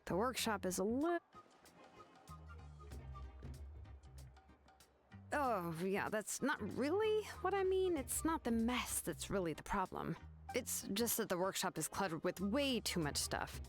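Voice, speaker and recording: A woman's voice: medium pitch, very animated, normal speed, natural voice. A woman voicing a frank, composed young craftswoman in a video game, matter-of-fact but caring, with music behind her. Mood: casual, matter-of-fact.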